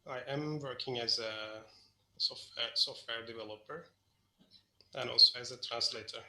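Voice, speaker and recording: A man from Turkey, answering interview questions in a relaxed way with filler pauses. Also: medium pitch, creaky. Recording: echoey room.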